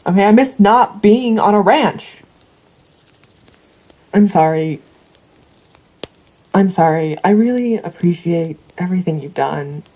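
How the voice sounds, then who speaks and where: Woman acting as nostalgic ex-city woman on a ranch, chatty, wistful, lowish pitch, soft dark tone, audio drama. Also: animated, slow, long pauses, low-quality recording. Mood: wistful, pleading. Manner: conversational, wistful.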